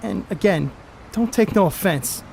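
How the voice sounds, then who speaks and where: A man's voice: sits high, lively intonation, a little slow, creaky, warm tone, a little hiss or hum, exaggerated voice, rough recording. A man on stage playing a brash, cocky 1930s baseball star who blusters in folksy, profane slang. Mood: boastful. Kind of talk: amateur stage play acting.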